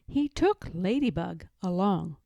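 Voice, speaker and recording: A woman reading a children's storybook aloud in a deliberate, expressive manner. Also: fairly low pitch, creaky, no room sound. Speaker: storybook reader. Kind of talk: children's read-aloud narration.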